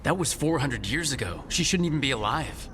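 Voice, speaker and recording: An actor playing a bold, protective young hero in a video game, blunt and direct. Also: male voice, mid-range voice, expressive, fairly quick, fairly bright tone, a little hiss or hum, lightly acted. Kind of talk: video game dialogue acting. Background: music.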